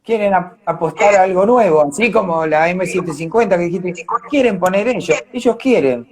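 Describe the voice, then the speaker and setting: A man with an Argentine Rioplatense accent, speaking conversationally as an actor being interviewed, animated in his telling. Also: high voice, fairly quick.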